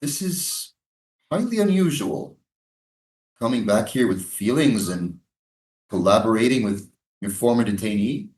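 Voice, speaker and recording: Man acting sardonic soldier, high-swinging voice, roomy. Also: expressive, a little slow, pauses often, a little mellow. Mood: stern, suspicious. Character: defensive, sardonic American military prison guard, a hardened soldier. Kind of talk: staged play reading, dramatic dialogue. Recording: roomy, echoing live staged reading.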